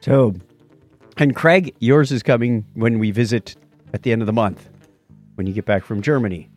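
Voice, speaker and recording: A man's voice: mid-range voice, moderate pace, darkish tone, no room sound, soft background music. A man hosting a podcast in a casual, playful, joking style. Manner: jokey, playful. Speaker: podcast host. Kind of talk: casual chat, listener shout-outs.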